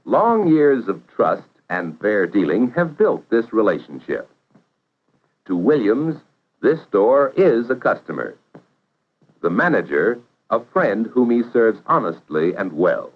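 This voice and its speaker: A man narrating a 1950s educational film in a measured, instructive way, posing questions to the viewer. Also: lowish voice, very animated. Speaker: narrator of vocational training film. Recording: dull vintage 1950s film soundtrack.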